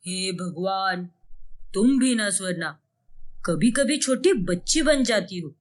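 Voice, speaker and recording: A woman's voice: mid-range voice, expressive, slow, pitched below the performer's own voice. Woman doing a placating, indulgent older husband. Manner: soothing, reasoning.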